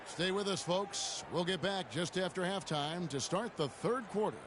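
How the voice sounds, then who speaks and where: A man's voice: high voice, animated, moderate pace, noisy background. An American man calling a basketball game as play-by-play commentator, in a video game broadcast. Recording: loud music and game sounds behind. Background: music, bouncing basketball, arena sounds.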